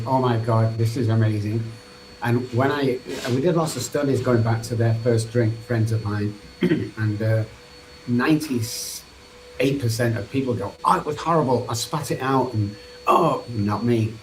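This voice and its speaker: A man's voice: medium pitch, low-quality recording. A middle-aged man from England with a Manchester accent, a podcast guest telling his own story in an earnest, loose, rambling way. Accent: Mancunian English. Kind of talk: candid personal recovery story. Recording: faint background noise.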